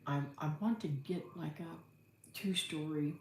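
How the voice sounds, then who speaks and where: In a roomy, echoing space, a middle-aged woman with an American accent hosts a casual livestream. Her voice sits low and she talks slowly. She rambles in a folksy way, thinking aloud as she replies to comments. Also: darkish tone. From Florida, United States. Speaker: livestream host, homesteader. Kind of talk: casual livestream chat, reading and answering comments. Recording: roomy echo, faint background noise.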